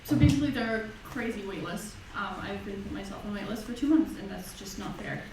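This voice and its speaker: An earnest young woman, a student candidate, pauses on ums as she makes her case. She is far from the mic in a very echoey hall with audible background hum. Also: medium pitch. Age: young adult. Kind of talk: debate answer. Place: university lecture hall.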